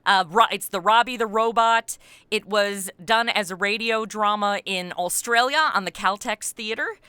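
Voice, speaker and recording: A woman's voice: medium pitch, unhurried, bright, forceful tone, clear, resonant tone, no room sound. A middle-aged woman chatting casually as a guest, promoting her comedy writing. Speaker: comedy writer, podcast guest. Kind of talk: casual promotional interview chat.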